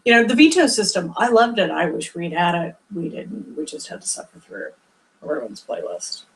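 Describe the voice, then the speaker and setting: Animated woman, loud projecting voice. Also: fairly low pitch, moderate pace, low-quality recording. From former record store worker. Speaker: podcast co-host. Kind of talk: casual movie review chat.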